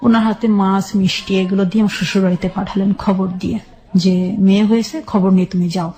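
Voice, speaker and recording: Dark-voiced Bangladeshi woman recounting her life. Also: mid-range voice, steady tone, a little fast. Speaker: guest telling her life story. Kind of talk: personal storytelling in an interview.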